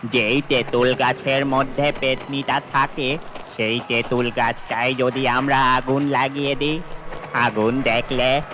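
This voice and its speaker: A man plays an excitable villager in a horror cartoon. He talks emphatically at a slow pace in a mid-range voice, with clear noise behind him. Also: medium pitch, lightly acted. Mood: urgent, resolute. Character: excitable village men arguing over a ghost.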